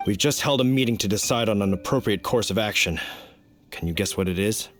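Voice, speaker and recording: A man's voice: lowish voice, fairly quick, a bit bright, faint music behind, close to an everyday voice. An actor playing an earnest, dutiful knight in a video game, formal and explanatory in his manner. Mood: troubled. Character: earnest, dutiful male city knight, stiff and official. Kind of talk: video game character acting.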